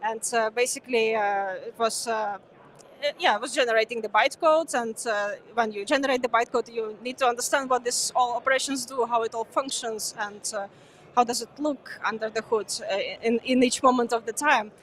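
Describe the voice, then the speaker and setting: A woman's voice: a little high, brisk, fairly bright tone. A young woman whose first language is Ukrainian, talking casually and hesitantly in a conference interview, with many fillers. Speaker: conference guest, former speaker. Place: busy conference venue.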